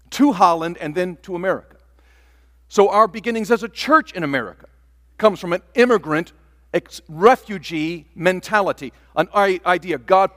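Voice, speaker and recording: A man's voice: high-pitched, fairly bright tone, big-room sound. An older man preaching a sermon from the pulpit, earnest, stretching out key words for emphasis. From Taiwan. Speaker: pastor. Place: church sanctuary.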